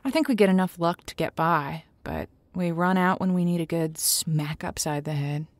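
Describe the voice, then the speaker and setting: A woman's voice: fairly low pitch, normal speed, close to an everyday voice. A woman playing a sympathetic, folksy advice host on a radio drama, with a reassuring way of talking. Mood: wry, reflective. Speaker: radio call-in show host. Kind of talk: scripted radio advice show.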